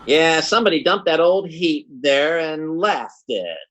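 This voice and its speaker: A man's voice: a little high, measured pace, fairly bright tone, a little hiss or hum. A man playing a bumbling, scheming husband in classic radio comedy, with a folksy, stammering, blustering delivery. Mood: grumbling.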